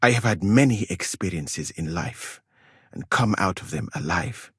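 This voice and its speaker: A man playing a learned, courteous medieval traveller from Mali in a video game, speaking in a formal, measured and articulate way. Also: deep voice, expressive, fairly bright tone, husky, no echo, clean studio sound, full character voice. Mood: composed, courteous. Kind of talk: dramatic game dialogue.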